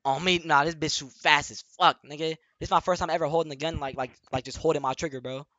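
A young man live-streaming a role-play game, talking in excited, boastful, repetitive street slang with lots of swearing. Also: fairly high pitch, quick pace, no room sound, highs cut off.

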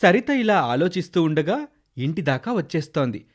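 A man's voice: on the high side, very animated, normal speed. A man narrating a scripted children's moral story.

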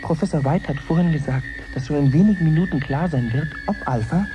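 An actor playing an earnest live reporter in a radio drama, speaking in a measured, formal, suspenseful way. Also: male voice, fairly high pitch, music in the background, close to an everyday voice. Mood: hushed suspense. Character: earnest male live reporter following an experiment.

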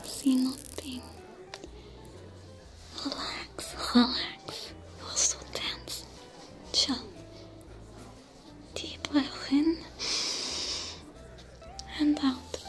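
A woman voicing a young female alien smuggler with a French accent, who gently tends a stranger's wounds in a whispered, soft-spoken ASMR roleplay. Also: high voice, steady tone, very slow, long pauses, soft background music. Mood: soothing whisper. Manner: gentle, reassuring, coaxing. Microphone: close to the camera.

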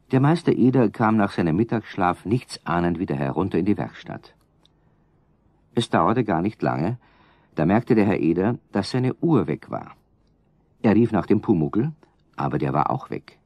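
A man narrating a children's radio play as a calm storyteller, addressing the listeners directly. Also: lowish voice, no echo.

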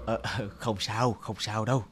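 A man's voice: on the low side, little pitch movement, measured pace, noisy background, lightly acted. A man voicing a courteous, kindly middle-aged company director in a cartoon. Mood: reassuring. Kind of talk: animated cartoon dubbing.

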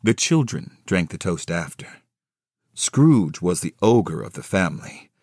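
Male audio-play narrator: low, unhurried, dry close sound, scripted storybook delivery. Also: big pitch swings, a little mellow, no room sound.

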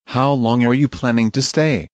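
A man reading English travel phrases one by one in a measured, plain way for learners. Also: medium pitch, steady tone, no room sound. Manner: measured, plain, each phrase read alone. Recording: dull, slightly muffled dry audio.

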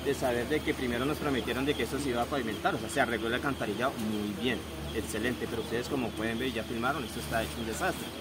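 A man's voice: medium pitch, fairly even intonation, rapid-fire, few pauses. A man in his forties from Colombia, a shop owner, speaking with emphatic outrage in an outdoor street interview. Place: outdoors on a busy town street. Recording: loud street traffic behind.

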